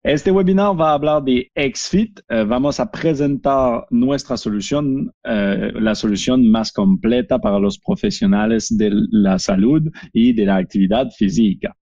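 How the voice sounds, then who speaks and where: A French Canadian man speaking Spanish as a second language, with a Québécois French accent, presenting a software webinar in a halting way with many fillers. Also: medium pitch, dry recording, muffled recording. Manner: halting, frequent "eh" fillers and restarts. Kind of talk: product demo webinar.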